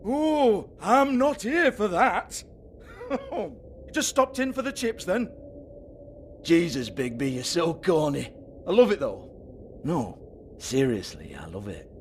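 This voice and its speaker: An actor playing a sleazy, foul-mouthed strip club owner with a British accent in a video game, mocking and volatile. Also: male voice, sits high, very animated, slow, pauses often, a little hiss or hum, exaggerated voice. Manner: sneering, taunting, swearing.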